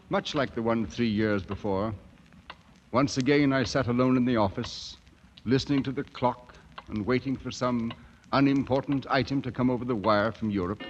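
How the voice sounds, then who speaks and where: A man's voice: medium pitch, lightly acted. An actor playing a reserved British newspaperman in India, narrating in an articulate, proper manner in a 1950s radio drama with a British accent. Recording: muffled vintage 1950s radio broadcast, music behind.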